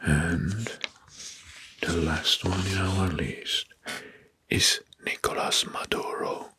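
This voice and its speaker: A man narrating soft-spoken ASMR in a breathy, husky whisper, deliberately repeating names and phrases. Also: low voice, steady tone. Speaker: host of an ASMR history channel.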